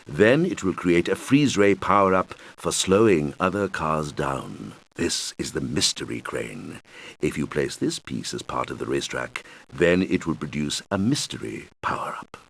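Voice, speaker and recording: Low-pitched male game guide, very lively intonation, patient, instructional, speaking to children. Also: normal speed, full character voice. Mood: patient, instructive. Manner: patient, clearly explanatory, addressing children. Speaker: game tutorial guide character. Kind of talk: scripted instructional narration for a children's video game.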